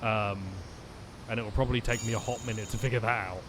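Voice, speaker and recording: A man's voice: on the low side, steady tone, fast talker. Young guy rambling while he plays, ums everywhere, noisy background hiss. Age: young adult. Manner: chatty, thinking aloud, frequent ums and restarts. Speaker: streamer. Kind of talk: gaming commentary.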